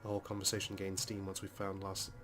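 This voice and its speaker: A man reads a game's first-person story aloud. His voice is fairly low and stays within a narrow range, with prominent music behind it. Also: completely flat delivery, fast talker, a bit bright. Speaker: let's play host reading the game's text. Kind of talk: dramatic reading of first-person story narration. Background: game music.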